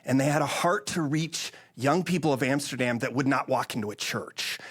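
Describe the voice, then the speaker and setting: A man's voice: mid-range voice, normal speed, husky. A man from the Netherlands telling his story as an interview guest, with earnest, emphatic delivery and a preaching bent. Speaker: interview guest, missions organization leader. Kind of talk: conversational testimony and preaching.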